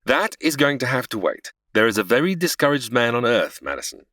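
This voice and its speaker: Deep-voiced, exasperated angel boss. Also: female voice, sing-song, brisk, fairly bright tone, dry recording, studio quality, full character voice. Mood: weary, irritated. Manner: formal, impatient, sighing. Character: stern, exasperated superintendent of angels, male. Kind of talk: comedy audio drama.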